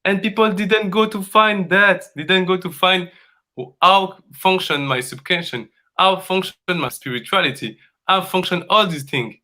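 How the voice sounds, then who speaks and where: A man from Congo, speaking as a dance coach in earnest, halting English and repeating phrases for emphasis. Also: high-pitched, sharp tone. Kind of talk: conversational coaching talk.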